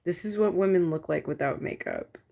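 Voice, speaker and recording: Low-voiced woman chatting casually on stream. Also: a little fast, gravelly, mellow. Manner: rambling, swearing, lots of "like". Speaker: livestream host. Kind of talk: casual livestream chat with viewers.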